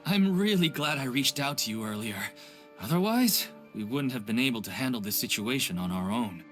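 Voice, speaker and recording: A man's voice: medium pitch, lively intonation, moderate pace, close to an everyday voice. A man voicing an eager, grateful and polite official in a video game. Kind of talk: video game character acting. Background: music.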